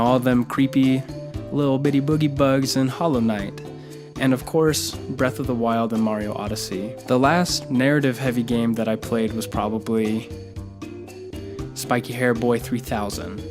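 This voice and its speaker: Goofy young man excited about games. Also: mid-range voice, normal speed, music in the background. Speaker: co-host of a gaming video channel. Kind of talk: casual gaming commentary.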